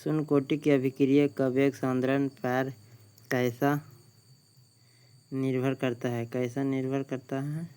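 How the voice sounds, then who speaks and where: Slow, flat-voiced man reading quiz answers. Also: mid-range voice, clear, resonant tone. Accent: Bihari Hindi. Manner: slow, flat, repeats each question before answering. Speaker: exam tutor.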